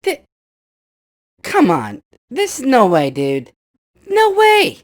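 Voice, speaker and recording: A man talking through a pitched-up voice changer that makes him sound like a small child, complaining irritably and swearing as he starts telling his story. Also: wildly swooping pitch, drawn-out words, dry recording, studio quality. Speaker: guest storyteller. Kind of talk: casual chat.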